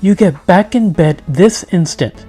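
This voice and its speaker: Guy putting on a fretful bossy mom from a picture book, high voice, really emphatic, music behind. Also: expressive, unhurried, warm tone, husky, heavily acted. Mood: stern. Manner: emphatic, exclaiming, ordering. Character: worried, bossy mother, adult woman.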